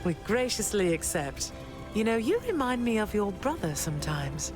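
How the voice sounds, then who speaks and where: Brief: actress as a gentle, dignified queen and mother, game music behind. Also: medium pitch, very animated, moderate pace, natural voice. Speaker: game character. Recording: game audio with clear music.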